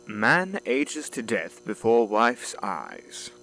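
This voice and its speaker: A man's voice: mid-range voice, very animated, very slow, sharp tone, full character voice, poor audio. An actor playing a droll, formal butler, wryly bemused by his employer, in an audio drama with hum and clicks in the recording. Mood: reading, incredulous. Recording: clear hum and clicks behind voice.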